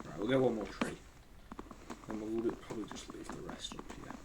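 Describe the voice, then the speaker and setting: A man's voice: lowish voice, steady tone, a little fast, heavy background noise. A man losing his voice and coughing, giving casual, rambling gameplay commentary as a gaming channel host. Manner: rambling, hesitant, thinks aloud.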